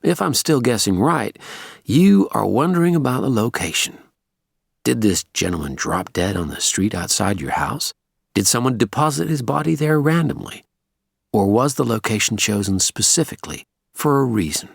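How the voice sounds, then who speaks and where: A man's voice: medium pitch, sing-song, normal speed, vocal fry, slightly rough, no echo, studio quality, pitched above the performer's own voice, heavily acted. A man voicing a polite but menacing Southern American heavy, courtly with a steely edge, in a Southern US accent. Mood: probing.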